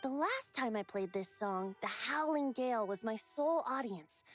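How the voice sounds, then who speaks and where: Woman voicing high, lilting youthful bard. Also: lively intonation, normal speed, faint music behind, close to an everyday voice. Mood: gentle, inviting. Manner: lilting, storyteller-like, teasing. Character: youthful androgynous bard, playful, gentle, airy and mysterious. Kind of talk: anime game voice acting.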